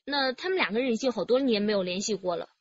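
High, lively female detective. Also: quick pace, no room sound, muffled recording. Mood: earnest, factual. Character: earnest, orderly female police detective. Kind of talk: radio drama acting.

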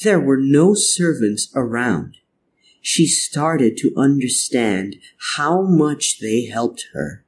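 A man reading a children's storybook aloud in a deliberate, expressive scripted narration. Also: mid-range voice, a little hiss or hum.

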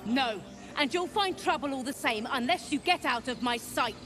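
A woman's voice: high voice, expressive, normal speed, noisy background. A woman voicing a curt, hostile gate guard in a video game, barking orders.

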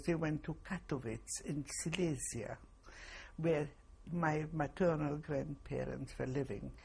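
An elderly woman, giving testimony slowly and haltingly, with many pauses. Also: low-pitched, vocal fry, darkish tone, breathy edge. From Poland. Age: 80s. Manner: slow, halting, pausing with fillers. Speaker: interviewee. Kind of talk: oral history testimony.

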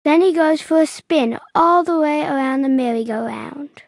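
A woman narrating a children's story in an enthusiastic read-aloud style, clearly paced for young listeners. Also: high voice, normal speed, no room sound.

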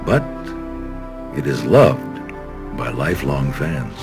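American male narrator, lively intonation, dramatic storytelling, scripted documentary delivery, prominent music behind. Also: extremely low pitch, slow, vocal fry.

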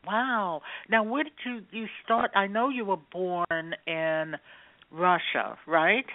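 A woman's voice: on the low side, steady tone, takes it slowly, dry recording. A woman hosting an internet radio interview show, enthusiastic and full of fillers. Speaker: radio host. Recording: dry tinny phone line.

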